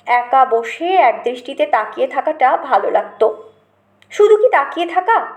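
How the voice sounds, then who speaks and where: A woman with a high voice narrates a literary memoir. Her delivery is expressive, scripted storytelling, and the recording has a clear roomy echo. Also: moderate pace.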